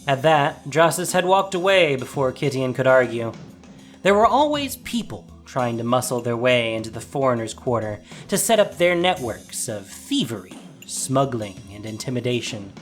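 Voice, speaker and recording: A man narrating a scripted story as a dramatic storyteller. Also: medium pitch.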